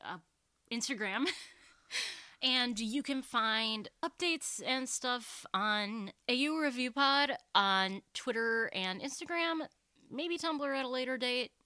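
Woman, podcast host, bright voice, giggly, dry studio sound. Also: on the high side, slow pace, smooth, dry recording. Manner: giggly, loose, trails off with fillers. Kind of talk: casual podcast chat.

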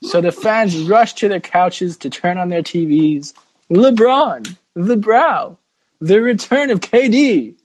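Man doing a grand, high-pitched festive storyteller. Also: animated, takes it slowly, heavily acted, low-quality recording. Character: dramatic holiday poem storyteller, cheerful and grand. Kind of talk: dramatic poem reading.

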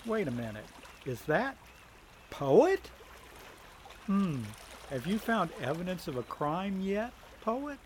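Higher-voiced commanding 1930s agent. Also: male voice, sing-song, slow, pauses often, slight background noise, exaggerated voice. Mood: uneasy musing. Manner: military-style clipped orders. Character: commanding, brisk 1930s federal agent team leader. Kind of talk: 1930s thriller audio drama acting.

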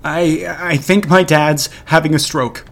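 A man's voice: a little high, fairly even intonation, creaky. An actor playing a haunted, regretful man who solemnly narrates a horror audio drama about his teenage past. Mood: panicked. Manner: deliberate, solemn, ominous storytelling.

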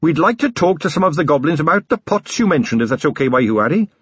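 In an audiobook, a man plays a polite young captain of the watch with a raised voice. His speech is earnest and courteous, a little husky. The sound is dry and dull. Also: on the high side, big pitch swings, fast, no room sound, voice pushed up. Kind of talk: audiobook character voice.

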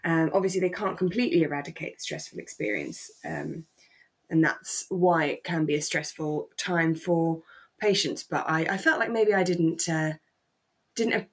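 A woman's voice: low-pitched, big pitch swings, normal speed, breathy edge. A British woman chatting casually as a podcast co-host, with an informal, careful way of wording things. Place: remote call from home.

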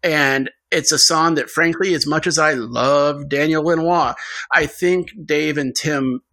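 Upbeat guy hosting a podcast, kinda high bright voice. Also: measured pace. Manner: enthusiastic, conversational. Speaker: podcast host. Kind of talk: casual chat.

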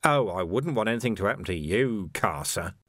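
A man's voice: lowish voice, expressive, brisk, a bit bright, no echo, studio quality. A man voicing a tough, weary police commander who talks in a curt, growling way. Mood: stern. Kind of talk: audiobook character voice.

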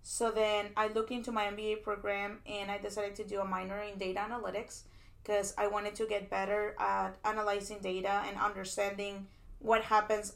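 A young woman from Peru tells her story in a casual interview, her pitch staying level and even throughout. She is chatty and loose, full of restarts and "like"s. It sounds like a recording made at a conference. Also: creaky. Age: young adult. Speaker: PhD student guest. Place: conference venue.

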